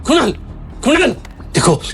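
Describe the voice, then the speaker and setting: A man's voice: very high-pitched, very animated, a little slow, vocal fry, soft background music, exaggerated voice. A man playing a worried, placating middle-aged father in an audio drama, hesitant and pleading. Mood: anxious pleading. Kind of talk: Hindi audio drama acting.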